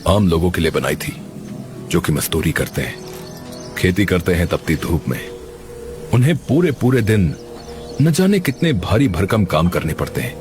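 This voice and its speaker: Deep-voiced kind scientist. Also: male voice. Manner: explains earnestly, apologetic and warm to the worker. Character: kind middle-aged male scientist, earnest and explaining. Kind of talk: cartoon voice acting.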